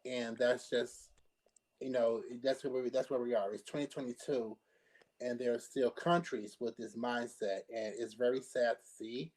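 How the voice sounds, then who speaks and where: A man giving his opinion in a casual panel discussion, in a measured, explanatory and somewhat halting way. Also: medium pitch, steady tone, a little mellow, poor audio. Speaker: panelist on a pop culture discussion show.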